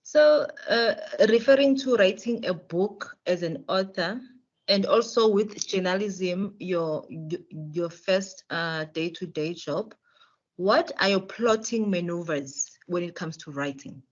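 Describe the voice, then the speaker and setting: Interviewer, very slow careful questions, mid pitch, muffled lo-fi audio. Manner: slow, deliberate questioning with hesitations. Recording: dull lo-fi audio.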